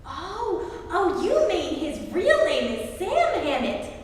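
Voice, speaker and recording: A woman acts out a scatterbrained wife from a 1940s radio comedy. Her voice is extremely high and swoops around with earnest, stubborn, literal-minded naivety. It is heard from a distance in a very echoey hall. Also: wildly swooping pitch, slow, full character voice. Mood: naive, insistent. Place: theatre stage, live performance. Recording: echoey hall, distant mic, faint noise.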